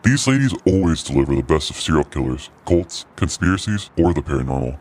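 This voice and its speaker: Man playing a very deep, hungry holiday cryptid. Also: sing-song, brisk, a little mellow, full character voice. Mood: wry, hungry. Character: hungry, sinister holiday cryptid guide with macabre humor. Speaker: host linking podcast segments. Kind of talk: scripted in-character host narration.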